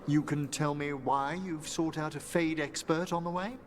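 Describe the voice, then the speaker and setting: An actor voicing a courtly, kindly, middle-aged professor of necromancy in a fantasy game, with formal and eloquent theatrical delivery. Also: male voice, a little high, fairly even intonation, normal speed, slight background noise, close to an everyday voice. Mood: courteous. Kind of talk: fantasy video game dialogue.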